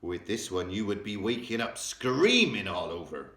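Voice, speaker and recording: A man voicing an excitable old male giant who mangles his grammar, invents words and bursts into loud exclamations. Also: on the low side, normal speed, reverberant, exaggerated voice. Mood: explaining.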